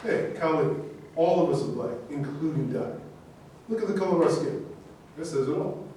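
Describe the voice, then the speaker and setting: A man's voice: a little high, expressive, moderate pace, mellow, lightly acted. A man in a staged play reading, playing a reasonable, reproving actor who served in the Navy. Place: echoey indoor room at a staged reading. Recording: distant, very echoey room with noise.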